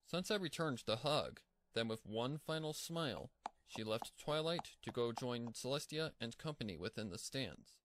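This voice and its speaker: A man's voice: mid-range voice, expressive, moderate pace, noisy background. A man reading scripted fan-fiction narration as the storyteller.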